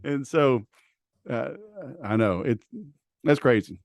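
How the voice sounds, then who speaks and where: Male podcast host, chatty and casual, mid pitch, dry close mic. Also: slow pace, dry recording. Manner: chatty, says dude and like a lot. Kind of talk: casual interview talk.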